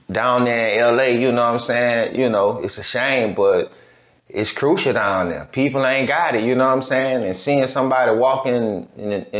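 Man, streetwise reaction-show host, loose slangy rambling, roomy muffled home-studio sound. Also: mid-range voice. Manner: slangy, rambling with constant "you know what I'm saying". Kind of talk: casual commentary. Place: home studio with green-screen backdrop. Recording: roomy, slightly dull sound.

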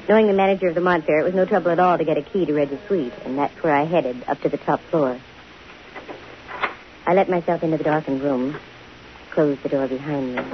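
A woman's voice: low-pitched, lightly acted. An actress playing a bold, dryly wisecracking female private investigator in a 1950s radio detective drama. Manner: dry, wisecracking. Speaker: lead actress and first-person narrator of a detective radio show. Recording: hissy, muffled old radio recording.

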